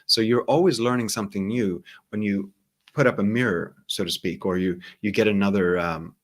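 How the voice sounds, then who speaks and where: A man with a Swedish accent, a native Swedish speaker, talking as a hypnotherapist guest in a casual interview, calm and reflective, often repeating words as he searches for them. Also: fairly low pitch, moderate pace. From Sweden.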